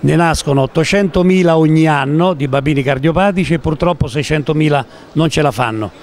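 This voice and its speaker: A man in his sixties with an Italian accent, president of a charity event, speaking slowly and earnestly into a handheld mic in a large concert-hall lobby. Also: on the high side, darkish tone. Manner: slow, earnest, modest. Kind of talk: interview at an event. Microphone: handheld interviewer mic.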